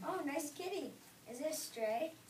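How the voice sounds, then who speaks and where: High-voiced mild prince played by a young woman. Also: lively intonation, normal speed, a little mellow. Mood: agreeable. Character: placid, hapless royal husband, a man. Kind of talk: amateur reader's theater performance. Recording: distant echoey noisy room recording.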